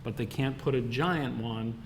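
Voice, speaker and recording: A man's voice: mid-range voice, moderate pace, crowd noise. A man speaking as a board member, clarifying points in a public meeting discussion. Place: indoor town board meeting room. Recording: faint background noise in meeting room.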